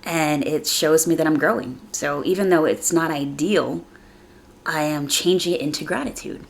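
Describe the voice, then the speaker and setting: Female podcast host, deep register with gravelly fry, a bit congested from a chest cold; earnest, informal motivational monologue. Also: moderate pace. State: slightly raspy from a chest cold.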